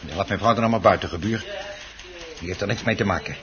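An actor playing a secretive, henpecked husband in a Dutch radio play, hesitant and often repeating himself. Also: male voice, low-pitched, fast talker, a crowd in the background, close to an everyday voice. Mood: proudly secretive. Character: secretive henpecked husband obsessed with his rare plant. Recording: dull noisy old radio play recording.

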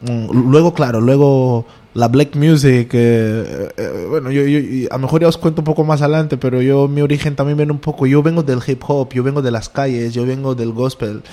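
A man's voice: mid-range voice, normal speed, few pauses, warm tone. A man from Portugal, a native Portuguese speaker with a Portuguese accent, talking as a guest musician in a casual radio interview, often hesitating and repeating words as he searches for them.

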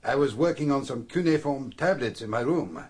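An actor playing a reserved, polite scholarly priest in a radio drama, speaking carefully and formally with some hesitation. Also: male voice, mid-range voice, expressive, normal speed, husky. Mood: polite, guarded.

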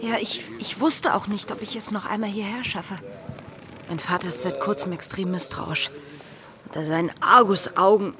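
A woman playing a spirited, impulsive young plantation daughter in a radio play, breathy and intense. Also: mid-range voice, normal speed, a crowd in the background, lightly acted. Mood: hesitant, confiding. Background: music.

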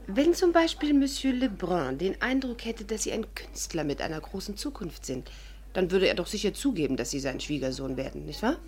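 An actress playing a self-assured, meddling amateur sleuth with a teasing, coaxing manner in a 1950s German radio play. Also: female voice, mid-range voice, very animated, fairly quick, a little mellow, close to an everyday voice.

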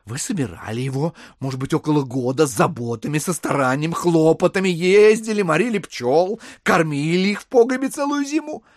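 An actor playing a scheming, smooth-talking gentleman swindler in a classic audio drama, insistent, wheedling and easily exasperated. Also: on the high side, lively intonation, brisk, hardly any pauses, no room sound, clean studio sound, close to an everyday voice. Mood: impatient, reasoning. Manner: insistent, wheedling, theatrically emphatic.

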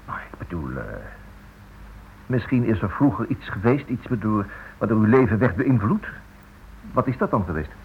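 An earnest, hesitant writer in an old radio play acted by a man, speaking low with very lively swings of pitch. He stammers eagerly through his explanations. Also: normal speed, pauses often, lightly acted. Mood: probing, hesitant. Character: earnest, hesitant male writer researching a criminal. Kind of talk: radio play acting in Dutch. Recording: muffled, noisy old radio play recording.

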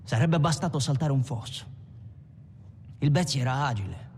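A man's voice: medium pitch, lively intonation, normal speed, a little mellow, slight background noise, close to an everyday voice. An actor playing an Italian postwar gangster who recounts his violent past in a conversational film monologue. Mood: bitter, admiring. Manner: conversational storytelling with rhetorical tags. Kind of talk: dramatic first-person monologue in a film. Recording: music behind the voice. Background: music.

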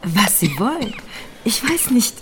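A woman's voice: fairly high pitch, very animated, measured pace, background noise. An actress playing a worldly, flirtatious, tipsy society lady in a German radio play, theatrical and coquettish.

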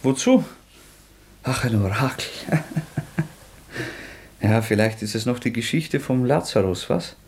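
An actor playing a tormented, defiant young murderer in a German radio drama, halting and agitated, moving between whispers and outbursts. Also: male voice, medium pitch, animated, darkish tone, slightly rough. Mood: irritated.

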